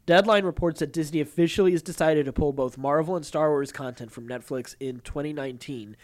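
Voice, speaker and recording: A man's voice: a little high, fairly quick. A man hosting a podcast, moving between reading news and casually explaining his take in a talkative way. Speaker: podcast host.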